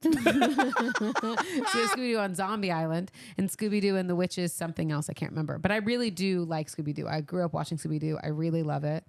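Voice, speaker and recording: A woman with a creaky voice, co-hosting a podcast in casual, enthusiastic banter with a playfully demanding way of talking. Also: fairly low pitch, fairly quick. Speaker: podcast co-host.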